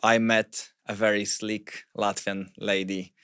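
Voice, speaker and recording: Polish-accented man in his thirties, low voice, thoughtful guest on a dry-sounding podcast. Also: level tone, bright, forceful tone, clean tone, no echo. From Poland. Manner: measured, thoughtful, hesitant with fillers. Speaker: guest, fintech CEO. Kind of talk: casual podcast conversation.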